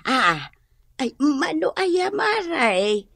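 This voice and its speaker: A woman's voice: high voice, big pitch swings, extremely slow, vocal fry, fairly bright tone, dry recording, studio quality, full character voice. A woman playing a good-natured, generous mother and best friend in an Ilocano-accented radio comedy drama. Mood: friendly.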